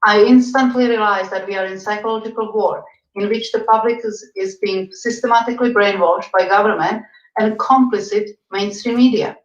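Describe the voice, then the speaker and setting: A woman's voice: medium pitch, steady tone, normal speed. A woman from Czechoslovakia, reading a firm, formal campaign statement. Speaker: party regional director candidate. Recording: echoey, dull sound.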